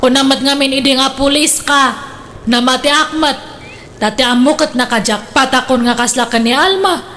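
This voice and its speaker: A woman's voice: high voice, normal speed, fairly bright tone, slight background noise. A woman playing a discontented, envious wife in a comedic radio drama, complaining and needling.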